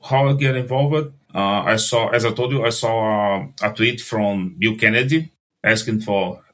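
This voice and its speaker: Brazilian guy speaking english as 2nd language, lowish voice, keeps restarting sentences. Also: a bit bright. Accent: Brazilian Portuguese. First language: Portuguese. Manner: halting, restarts phrases, non-native grammar. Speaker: podcast guest, translator. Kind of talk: casual interview conversation.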